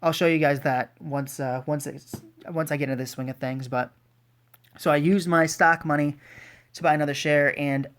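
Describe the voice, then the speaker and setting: A woman's voice: sits low, fairly even intonation, moderate pace. A woman with a cold gives casual, enthusiastic vlog commentary as the host of an investing channel. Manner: enthusiastic, informal. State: congested with a cold.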